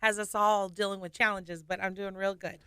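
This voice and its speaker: Bright, laughing woman radio guest. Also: mid-range voice, normal speed, clean tone, no echo, studio quality. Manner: lively, laughing, candid storyteller. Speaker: singer and nurse guest. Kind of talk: casual radio interview. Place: radio studio.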